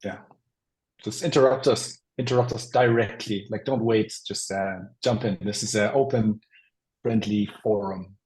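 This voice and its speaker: A man's voice: lowish voice, steady tone, moderate pace, a little mellow, rough recording. A man from Germany, chatting informally as a co-presenter of an online webinar. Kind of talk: casual chat.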